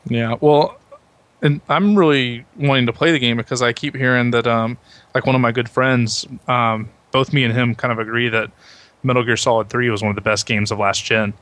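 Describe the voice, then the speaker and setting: A man with an average-pitched voice chats casually as a podcast host about his hobby. The recording is dry and close, with very little room sound. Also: steady tone, normal speed, fairly bright tone, no echo. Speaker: video game podcast host. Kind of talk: casual chat.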